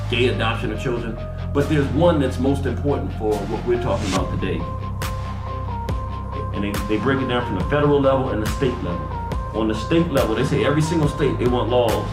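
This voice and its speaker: A man in his forties hosting a live talk show, speaking emphatically and slowly repeating his key points. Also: mid-range voice. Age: 40s. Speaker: host. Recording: roomy, far from the mic, music behind.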